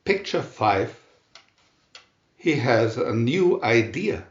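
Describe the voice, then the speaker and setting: A man reading a children's story aloud in a deliberate, expressive storytelling manner. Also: medium pitch, reverberant, lo-fi sound. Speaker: storybook reader. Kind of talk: scripted children's story narration.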